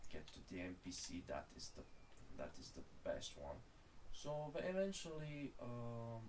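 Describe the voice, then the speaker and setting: Bulgarian guy streaming, lowish voice, rambles and swears a lot, bit far from the mic, faint hiss. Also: vocal fry. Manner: rambling, profane, calls the listener bro. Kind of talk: casual rant while gaming.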